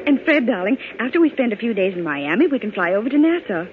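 A gushing young society bride with a high, very lively voice, coquettish and doting in old radio-drama style. The sound is tinny, like a phone line. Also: female voice, fast. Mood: playful. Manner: gushing, cooing, affectionate. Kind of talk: 1940s radio drama acting. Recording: tinny, faintly noisy old radio recording.

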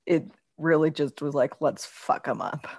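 A woman's voice: low voice, lively intonation, measured pace, gravelly, slightly rough, dry recording, clean studio sound. A young adult woman chatting informally as a podcast co-host, with a wry, teasing way of talking. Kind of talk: casual banter between siblings.

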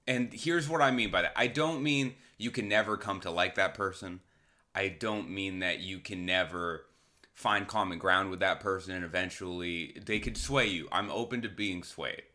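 A man's voice: deep voice, moderate pace, sharp tone. A man talking casually as a podcast host, rambling candidly with self-deprecating comedy.

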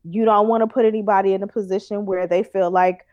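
A woman's voice: mid-range voice, fairly even intonation, fast talker, no echo, highs cut off. Woman, reflective casual chat, hesitant fillers, careful wording, dry recording. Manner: thoughtful, hedging with ums and likes.

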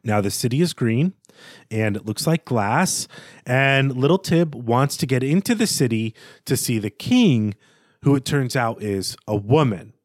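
A man with a middle-pitched voice talks through literary analysis as a podcast host. He is articulate and thoughtful, sometimes stammering as he searches for phrasing. The sound is dry, with very little room in it. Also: sing-song, measured pace, darkish tone, no echo. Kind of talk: literary analysis in conversational monologue, with quoted passages.

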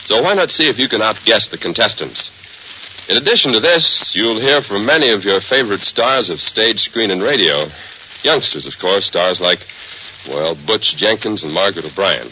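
Animated, bright American radio announcer, male. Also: lowish voice, moderate pace, rough recording. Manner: theatrical, emphatic delivery. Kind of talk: 1940s radio announcing and ad reading. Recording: vintage radio broadcast with faint noise.

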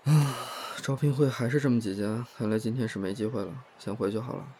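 An actor playing a reserved, polite young man who speaks softly and hesitantly in an audio drama. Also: medium pitch, fast talker, a little hiss or hum, natural voice. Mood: subdued, reserved. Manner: hesitant, courteous, restrained. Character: soft-spoken, reserved, polite young man seeking work. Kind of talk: Chinese audio drama acting.

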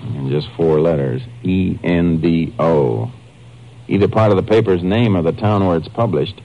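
An actor playing a serious, matter-of-fact Texas Ranger investigator in a 1950s radio drama. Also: male voice, deep voice, normal speed. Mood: intent, deliberate. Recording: muffled old-time radio recording.

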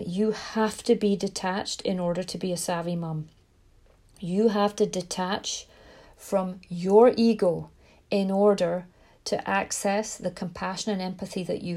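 A Scottish woman from Scotland hosting a parenting podcast, talking to listeners in a gentle, reflective way with frequent small restarts. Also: on the low side. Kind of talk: solo podcast talk.

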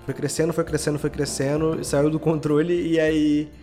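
Higher-voiced Brazilian man chatting as podcast guest. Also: level tone, moderate pace, warm tone, soft background music. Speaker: podcast guest, audio drama creator. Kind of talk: casual interview chat.

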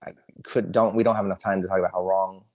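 A middle-aged man as a podcast guest, talking candidly and loudly, laughing often. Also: low-pitched, steady tone, fast talker, muffled recording. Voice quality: loud voice. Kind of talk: casual interview chat.

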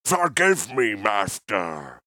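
A man voicing a monstrous, servile mercenary beast in a fantasy audiobook, with a buzzing, gravelly, husky voice. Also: lowish voice, very animated, slow pace, bright, forceful tone, no echo, studio quality, full character voice. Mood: servile pleading. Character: monstrous insect-jawed male mercenary beast, grovelling before master.

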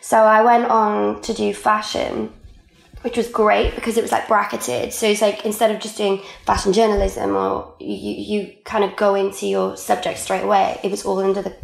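Chatty young Welsh woman, gravelly. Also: mid-range voice, level tone, normal speed, roomy sound, rough recording. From Wales. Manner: chatty, lots of "like" fillers. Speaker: guest. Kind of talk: casual conversational interview.

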